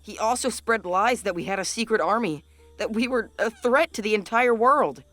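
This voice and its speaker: A woman voicing an earnest young boy monk in a fan-made animated comic dub, speaking gently and pleading his case. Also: fairly high pitch, normal speed, no echo, soft background music. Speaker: voice actor.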